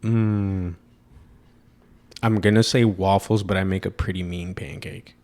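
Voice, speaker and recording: Young man, podcast co-host, deep low voice, laid-back rambling chat. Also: unhurried. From Mexican heritage. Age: 20s. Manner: relaxed, rambling with fillers and restarts. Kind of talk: casual chat.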